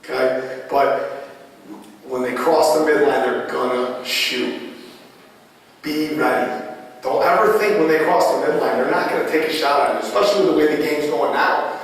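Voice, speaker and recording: Hoarse man coaching in an echoey hall. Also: fairly high pitch, normal speed, long pauses, distant mic. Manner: repetitive, drilling phrases, demonstrative. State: raspy voice. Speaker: lacrosse goalie coach. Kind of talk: coaching clinic lecture.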